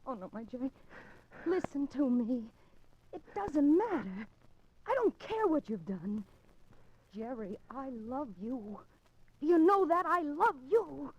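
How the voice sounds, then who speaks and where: An American actress playing a secretive, lovestruck wife in a 1950s radio drama, speaking breathlessly and melodramatically. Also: female voice, high voice, very animated, slow pace, pauses often, heavily acted. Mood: passionate. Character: intense, secretive wife of a condemned man, in love. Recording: dull, noisy 1950s radio recording.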